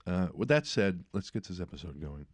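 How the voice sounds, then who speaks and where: Middle-aged male podcast host, deep voice, easygoing and rambling. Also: brisk. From eastern United States. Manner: relaxed, filler-heavy, trails off quietly. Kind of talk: casual interview chat.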